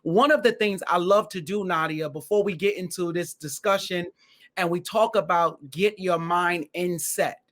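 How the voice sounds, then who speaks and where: A man's voice: high-pitched, moderate pace, fairly bright tone. A man hosting an online interview show, upbeat and enthusiastic, often repeating phrases for emphasis. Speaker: host.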